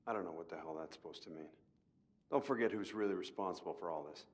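An actor playing a gruff, accusing man in a horror game, curt and confrontational. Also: low voice, fast talker, lightly acted. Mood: irritated. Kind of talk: dramatic horror game acting.

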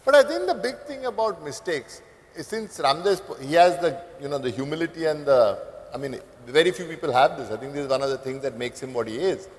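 A man's voice: a little high, fairly quick. An older man with an Indian English accent, speaking animatedly as a panelist on a conference stage through a lapel mic. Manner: animated, gestures broadly while talking. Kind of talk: panel discussion. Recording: reverberant hall, lapel mic.

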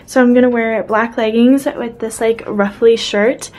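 A woman's voice: a little high, fairly even intonation, unhurried, a little mellow. A young woman in her dorm room, chatting bubbly to a handheld camera at arm's length, full of "like" fillers. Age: young adult. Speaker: vlogger. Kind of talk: casual vlog chat. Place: small college dorm room, indoors.

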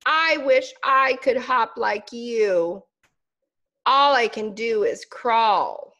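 A woman's voice: a little high, expressive, very slow, a bit bright, heavily acted. A woman voicing an impatient little female caterpillar in a storybook, whiny and pleading.

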